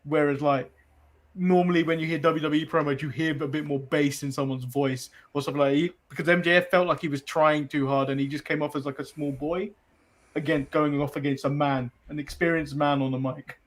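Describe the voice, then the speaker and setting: Slightly high-voiced Englishman co-hosting a podcast. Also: steady tone, a little fast. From England. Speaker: wrestling podcast co-host. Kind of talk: casual opinionated chat.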